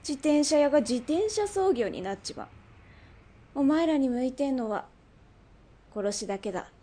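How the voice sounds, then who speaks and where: A woman playing a brash, bossy underworld boss in a radio drama, with rough, masculine, commanding speech. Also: high-pitched, lively intonation, normal speed, dark tone. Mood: scornful, mocking.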